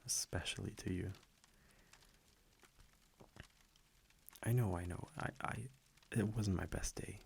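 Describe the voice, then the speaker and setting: A tender immortal vampire boyfriend , played by a man, murmurs in a very deep, creaky voice. He takes his time, soft, breathy and affectionate with a gentle tease, as in a close ASMR roleplay. A faint noise sits behind him. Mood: curious, wistful. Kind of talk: intimate ASMR audio roleplay. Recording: faint background noise, crackling fireplace ambience.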